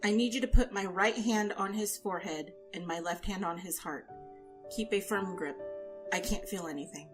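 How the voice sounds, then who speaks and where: A woman playing a weakened but composed healer in an audio drama, speaking in a measured, instructing way. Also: medium pitch, natural voice. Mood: focused, instructing. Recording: music under the voice.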